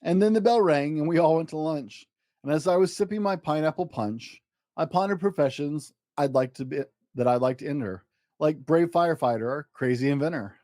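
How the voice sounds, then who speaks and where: A man reading a rhyming children's story aloud in an animated storytelling style. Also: fairly high pitch, brisk, dry recording, clean studio sound. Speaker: storybook reader.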